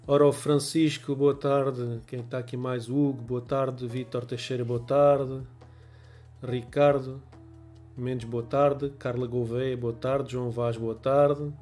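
A man's voice: mid-range voice, level tone, takes it slowly, a little mellow, faint music behind. A man in his forties with a European Portuguese accent, chatting casually on a livestream with a cold, laughing often and greeting viewers by name, recorded on a wired earphone mic in a small home room. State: has a cold. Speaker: photography livestream host. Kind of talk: casual livestream chat reading viewer comments.

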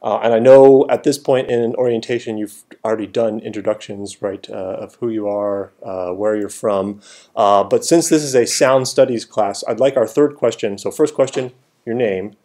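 A man's voice: fairly low pitch, steady tone, moderate pace, mellow. A man in his thirties, a professor from Florida, talking casually to a class with many ums and restarts, recorded on a laptop webcam in a classroom.

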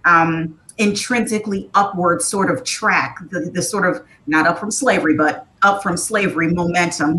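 A woman in her thirties with an American accent speaks on a remote panel in an articulate, earnest way. Her voice sits a little low but has a bright, forceful edge. It comes over a video call with faint noise and rumble. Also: poor audio. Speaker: museum community engagement panelist. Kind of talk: panel discussion remarks. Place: small furnished room at home, on a video call.

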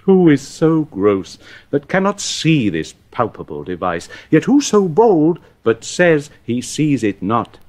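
Sardonic Shakespearean scribe: slow, deliberate, rueful, highly inflected. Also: male voice, sing-song, darkish tone. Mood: wry, troubled. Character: sardonic, troubled legal scribe. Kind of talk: Shakespearean soliloquy in radio drama.

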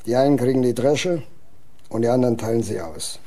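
An actor playing a plain-spoken, resigned truck driver grumbling colloquially in a radio play, with a gravelly voice. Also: male voice, mid-range voice, a little fast, mellow, natural voice. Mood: resigned, skeptical. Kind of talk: German radio drama acting.

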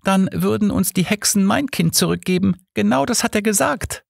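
A timid, distraught young mother, voiced by a male narrator, speaks tearfully in an audiobook tale. The pitch is pushed far up from a low register, in a dry recording. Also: gravelly, breathy edge, no room sound, full character voice. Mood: sobbing. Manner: tearful, halting. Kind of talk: audiobook character dialogue.

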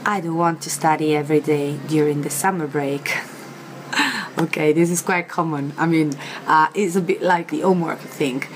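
Italian-accented young woman, low voice, chatty teacher vibe, faint outdoor hum behind her. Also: low-quality recording. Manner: chatty, many fillers, repeats words slowly. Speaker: language teacher. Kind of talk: vocabulary lesson to camera. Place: outdoor terrace with plants.

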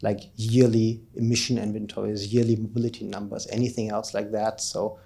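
Mellow-voiced man giving a conference talk. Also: on the low side, little pitch movement, a little fast, creaky. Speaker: keynote speaker, academic researcher. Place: conference lecture room, indoors, at a lectern. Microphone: handheld microphone.

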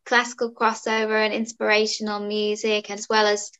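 A woman's voice: mid-range voice, completely flat delivery, normal speed, fairly bright tone, clear, resonant tone. A woman in her thirties, a singer, chatting upbeat with fillers as a guest over a video call. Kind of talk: casual interview chat. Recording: dull lo-fi video call audio.

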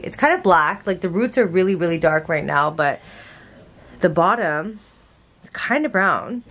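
A young woman vlogging from her small bedroom, talking to a handheld camera in a rambling, chatty way and swearing freely. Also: on the low side. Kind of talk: casual chat to camera. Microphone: handheld camera at arm's length.

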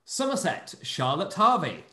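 Lively high-voiced male host on a call. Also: roomy sound. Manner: brisk, announcing names in a lively sing-song. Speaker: host and producer. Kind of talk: reading cast introductions on a video call. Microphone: video call.

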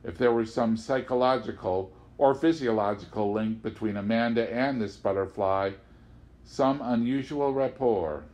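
A man narrates a literary audiobook in a voice of middling pitch. His delivery is expressive and theatrical, shaped as storytelling and read from the text. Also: moderate pace. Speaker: audiobook reader.

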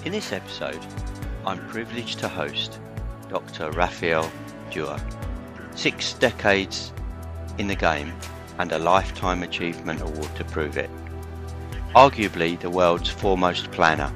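A man hosting a podcast, reading a scripted, upbeat introduction and sponsor message. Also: deep voice. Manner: upbeat promotional delivery. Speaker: podcast host.

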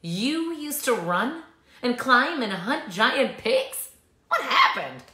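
Woman putting on an excitable, high-pitched young male snake. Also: slow, reverberant, exaggerated voice. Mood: astonished. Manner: exclaiming, melodramatic.

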